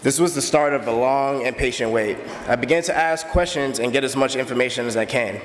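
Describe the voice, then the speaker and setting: A man in his early twenties from the Bahamas, earnestly telling his own story as a steady personal testimony. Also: mid-range voice, a little fast, in a large hall, rough recording. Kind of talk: personal testimony, read aloud.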